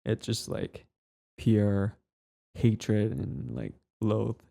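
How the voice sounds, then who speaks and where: A man's voice: lowish voice, level tone, extremely slow, vocal fry, warm tone. A man who hosts an ASMR channel, chatting casually to his listeners in a hesitant, rambling way. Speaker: ASMR voice performer, channel host.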